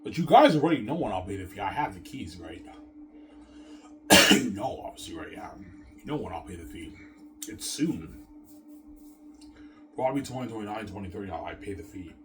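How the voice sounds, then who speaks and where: Low-voiced man in his thirties rambling. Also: animated, moderate pace, pauses often, fairly bright tone, a little hiss or hum. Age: 30s. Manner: repetitive, self-assured, restarts often. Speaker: vlogger. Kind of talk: rambling monologue to viewers.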